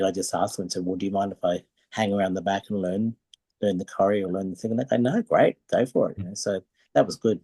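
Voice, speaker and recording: A man's voice: deep voice, a little mellow, husky, no room sound. An older man with an Australian accent reminiscing conversationally as an interview guest and telling anecdotes. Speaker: interview guest, retired dancer and performer.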